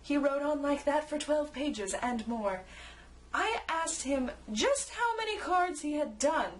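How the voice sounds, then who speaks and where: A woman narrating a rhymed children's story in an expressive, theatrical way. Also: high-pitched, takes it slowly. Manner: theatrical, acts out character lines.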